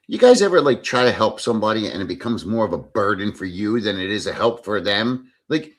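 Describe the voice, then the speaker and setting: A man's voice: lowish voice, sing-song, fairly quick. A middle-aged man hosting a casual livestream, chatting bluntly and reading out viewer comments, swearing freely as he rambles. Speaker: livestream host.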